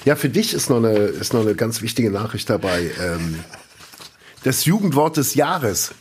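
A man's voice: mid-range voice, moderate pace, slight background noise. A man co-hosting a casual podcast, talking loosely with many fillers and reading out news items. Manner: relaxed, reads news items aloud with frequent fillers. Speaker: podcast co-host.

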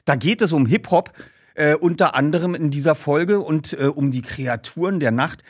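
A man presents as a host to his listeners. His voice sits a little higher than most men's. The sound is close and dry, with very little room. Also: moderate pace, no echo. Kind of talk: scripted ad read and casual show presenting.

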